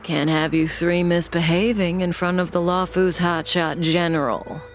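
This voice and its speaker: A woman's voice: on the low side, steady tone, normal speed, faint music behind, natural voice. An actress playing a sly, playful, commanding woman in an anime game, teasing and self-assured. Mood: teasing, smug. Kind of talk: dramatic anime game voice acting.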